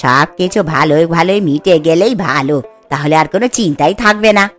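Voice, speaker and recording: A woman's voice: sits low, normal speed, faint music behind, lightly acted. A woman playing a jealous, scheming rival village cook in a cartoon. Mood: smug, spiteful. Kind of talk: Bengali village cartoon voice acting.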